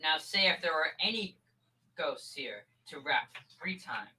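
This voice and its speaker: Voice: medium pitch, animated, a little slow, bright, forceful tone, slightly rough, full character voice, low-quality recording. A young performer playing an eager, pestering boy in an old-time radio comedy, insistent and childlike. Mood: expectant. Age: teenager.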